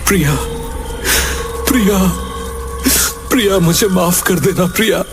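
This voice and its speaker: A man's voice: high-pitched, a little slow, music in the background. An actor playing a bewildered, anxious young man in a cartoon story, with a dramatic, shaken delivery. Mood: remorseful. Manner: pleading, shocked, dramatic. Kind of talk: Hindi cartoon story dubbing.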